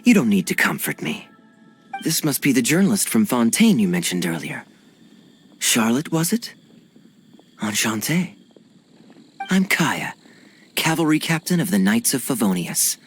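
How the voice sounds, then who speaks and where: An actor playing a suave, courteous cavalry captain in a video game cutscene. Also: male voice, medium pitch, big pitch swings, a little slow, pauses often, slight background noise. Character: suave charming knight captain man, smooth and teasing.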